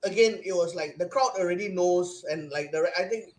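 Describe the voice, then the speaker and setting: A young man with a Singaporean accent, excitedly recounting a live event as a podcast guest, with lots of restarts and fillers. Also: high-pitched, brisk, low-quality recording. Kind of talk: casual chat.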